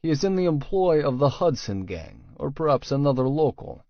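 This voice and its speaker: A man playing a cold, calculating male Russian crime boss in an audiobook, measured and menacing. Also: fairly high pitch, sing-song, dry recording, voice pushed up. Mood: commanding, assured. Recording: dry, slightly dull studio sound.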